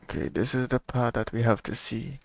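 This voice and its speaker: A man streams a game with casual commentary. His voice sits at an ordinary pitch with plenty of fry, and he sounds laid-back, half talking to himself. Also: steady tone, fairly quick, slight background noise. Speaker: gaming streamer.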